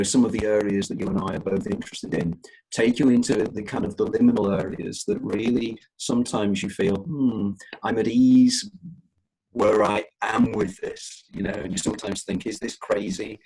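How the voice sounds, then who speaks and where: A man's voice: lowish voice, gravelly, a little mellow, slight background noise, poor audio. A middle-aged man hosting an interview podcast, rambling and hesitant with many filler phrases. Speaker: podcast host.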